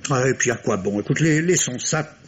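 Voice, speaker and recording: A middle-aged French surgeon husband played by a male actor, cool, formal and impatient, in 1950s radio drama style. His mid-pitched voice speaks with clipped exasperation. The old recording is dull, with some background noise. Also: animated, normal speed, lightly acted. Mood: weary, cold.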